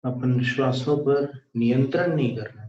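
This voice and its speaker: A man with an Indian accent leading a guided meditation, speaking calmly and deliberately with pauses. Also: mid-range voice. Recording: echoey room, dull muffled sound.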